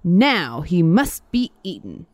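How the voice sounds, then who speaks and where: A woman's voice: fairly low pitch, very animated, slow, darkish tone, no room sound, heavily acted. Woman voicing a smug, foolish hyena. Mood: accusing. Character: eager, foolish male hyena, smugly self-satisfied.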